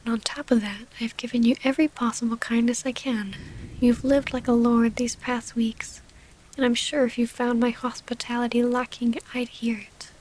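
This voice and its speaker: Casting: actress as refined vampire lady, devoted yet wounded; higher-pitched breathy half-whisper, formal diction, intimate ASMR drama over faint rainy noise. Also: flat delivery, moderate pace, darkish tone, natural voice, low-quality recording. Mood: hurt, confronting. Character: gentle, formal female vampire noble, wounded but devoted lover.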